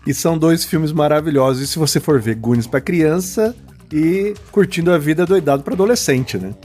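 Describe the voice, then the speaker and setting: A man's voice: fairly high pitch, a little fast, darkish tone, faint music behind. Admiring Brazilian man co-hosting a podcast. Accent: Brazilian Portuguese. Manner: admiring, restarts often, says "cara". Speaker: podcast co-host. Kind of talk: casual film podcast chat.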